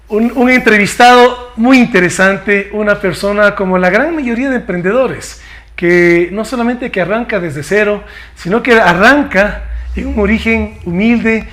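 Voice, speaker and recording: Male host, high-pitched, formal interviewer who asks long, winding questions. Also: a bit bright.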